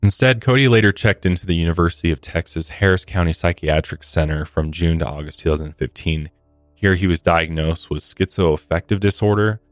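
A man's voice: low-pitched, a little fast, dry recording. A man co-hosting a podcast, narrating a true crime story. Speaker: podcast co-host. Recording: dry close studio sound, music underneath. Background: background music.

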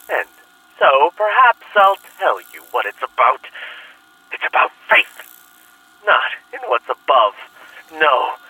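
An actor playing a fanatical cult leader in an audio drama, preaching theatrically and deliberately on a taped recording. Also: male voice, a little high, pushed and bright, exaggerated voice. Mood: ominous, knowing. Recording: harsh taped recording, distant from mic.

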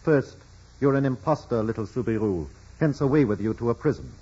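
A man as a husky, imperious old-radio bishop. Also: medium pitch, warm tone, close to an everyday voice. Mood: stern, dry. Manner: measured, pompous, emphatic. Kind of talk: 1940s radio drama acting. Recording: muffled vintage radio broadcast recording.

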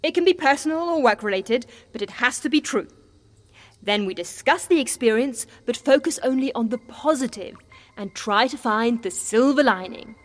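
Actress as an upbeat, high-voiced woman peacemaker. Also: lively intonation, normal speed, lightly acted. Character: unflappable upbeat woman, breezy peacemaker between enemies. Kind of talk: audio comedy drama acting. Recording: echoey hall-like reverb.

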